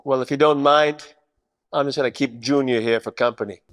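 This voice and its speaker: A man acts out a wry 1940s private eye narrating a radio noir parody. His mid-pitched voice rings with long hall reverb. He delivers deadpan, world-weary narration and hard-boiled quips. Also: a little fast, close to an everyday voice.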